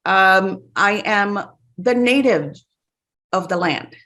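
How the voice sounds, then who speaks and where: A woman who grew up in Sri Lanka hosts a podcast conversation. Her voice sits in an ordinary middle pitch. She speaks in a measured, empathetic way, reflecting slowly on what her guest has shared. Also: lively intonation, bright, forceful tone. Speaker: podcast host. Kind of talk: interview conversation.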